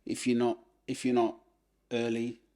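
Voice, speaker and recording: A man, a podcast guest telling his life story in a relaxed way, filled with "you know". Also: mid-range voice, moderate pace. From England. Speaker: podcast guest, former boxer. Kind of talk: casual life-story interview.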